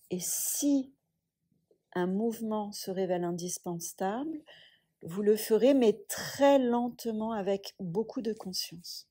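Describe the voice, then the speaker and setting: Slow, breathy woman guiding relaxation. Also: medium pitch. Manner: soft, soothing, measured instruction with long pauses. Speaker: yoga nidra instructor. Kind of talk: guided relaxation meditation.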